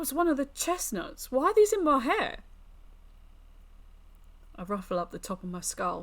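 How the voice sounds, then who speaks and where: A woman's voice: fairly low pitch, lightly acted. A woman voicing a naive young country lad in a visual novel, plain-spoken, folksy and drowsy. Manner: folksy, unhurried, puzzled. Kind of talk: visual novel character narration.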